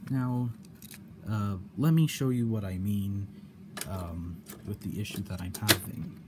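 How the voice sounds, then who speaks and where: A man giving a casual explanation in a hobby vlog, halting and often repeating his phrases. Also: lowish voice. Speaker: cassette hobbyist demonstrating a problem. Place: indoor room at home. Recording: clear background noise.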